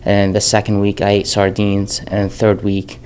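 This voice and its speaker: A man's voice: on the low side, completely flat delivery, moderate pace, a little mellow, a little hiss or hum. A man in his thirties from Jordan, a filmmaker speaking candidly in an interview, often restarting his sentences. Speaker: filmmaker guest. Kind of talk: casual interview talk.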